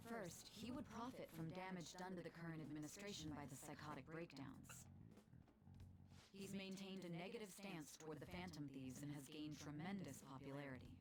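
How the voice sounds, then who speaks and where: Woman as a low-voiced, stern prosecutor. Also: a loud crowd, natural voice. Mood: analytical. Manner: formal, deliberate. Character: stern, composed adult female prosecutor. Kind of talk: dramatic video game voice acting. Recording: very loud music under the voice.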